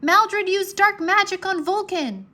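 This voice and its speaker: Woman as a high-pitched, urgent young boy hero. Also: measured pace, pushed and bright, heavily acted. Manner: urgent, exclaiming.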